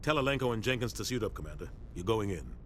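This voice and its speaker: A man's voice: lowish voice, expressive, fast, a bit bright, a little hiss or hum. A man playing a stern veteran captain of a space warship in a video game, terse and commanding. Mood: grave, commanding. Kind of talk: video game cutscene acting.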